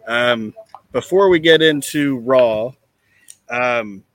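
A man hosting a casual comedy podcast, chatting and reading things out in a deadpan way. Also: mid-range voice, takes it slowly, dry recording. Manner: deadpan, monotone when reading. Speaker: podcast host. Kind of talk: casual comedic podcast chat with mock recitation.

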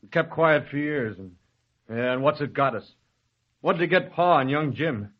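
A man's voice: a little high, animated, moderate pace. An actor playing an angry, desperate young mill worker man who speaks in an impassioned rural drawl. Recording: dull old radio broadcast.

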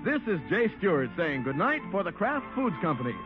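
High, lively American radio announcer. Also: male voice, fairly quick. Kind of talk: scripted 1950s radio announcing and sponsor pitch. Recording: dull old radio recording, music behind.

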